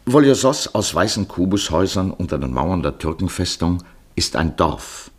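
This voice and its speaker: Male narrator for literary radio drama, very low husky voice, scripted storytelling. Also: very animated, normal speed.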